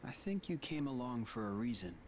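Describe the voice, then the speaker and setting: A man's voice: medium pitch, sing-song, a little fast, darkish tone, a little hiss or hum, close to an everyday voice. An actor playing an earnest, weary young male hero in a reflective video game drama. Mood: subdued, reflective.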